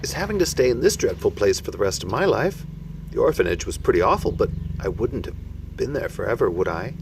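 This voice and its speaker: A man's voice: on the low side, a little fast, creaky. A man voicing a plucky young orphan girl who answers plainly and matter-of-factly. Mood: worried. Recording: steady background noise.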